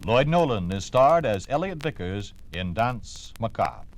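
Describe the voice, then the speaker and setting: A man's voice: on the low side, sing-song, measured pace. A man with an American accent reading scripted narration as a 1950s radio announcer. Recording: old radio recording. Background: loud dramatic music.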